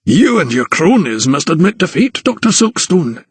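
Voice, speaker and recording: A man voicing a gruff, impatient older Scottish anatomist with a curt, sardonic manner in an audiobook. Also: a little high, very animated, normal speed, dry recording, pitched above the performer's own voice. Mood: mocking.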